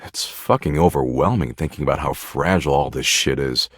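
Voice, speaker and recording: Jaded slacker dread spirit, deep voice. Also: male voice, fairly quick, breathy edge, dry recording, clean studio sound. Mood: sincere, vulnerable. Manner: flippant, slangy, laid-back, bored drawl with swooping emphasis. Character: jaded, foul-mouthed slacker embodiment of existential dread. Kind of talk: video game character voice lines.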